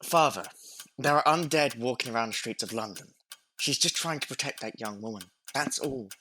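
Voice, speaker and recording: A man's voice: medium pitch, moderate pace, bright, forceful tone. A man playing an earnest, fair-minded grown son in a Victorian audio drama, polite but insistent. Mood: earnest, exasperated.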